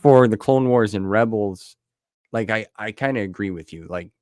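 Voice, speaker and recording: A man's voice: fairly low pitch, moderate pace, darkish tone, no room sound, clean studio sound. A man hosting a podcast and chatting casually with his guest. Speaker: podcast host. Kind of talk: casual chat.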